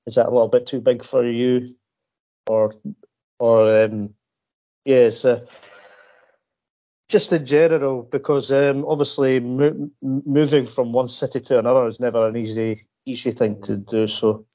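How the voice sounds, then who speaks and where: A man's voice: mid-range voice, little pitch movement, normal speed, dark tone. A man asks long, winding interview questions, stumbling over words and restarting often in a casual, self-deprecating way. The recording sounds somewhat dull and lo-fi. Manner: rambling, stammering, self-deprecating.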